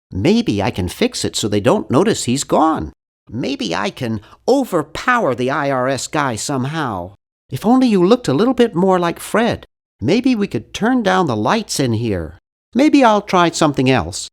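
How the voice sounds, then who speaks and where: Nerdy young guy with swooping pitch. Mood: worried, scheming. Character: nerdy, earnest, awkward young man, adventure game hero. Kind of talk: scripted video game voice lines.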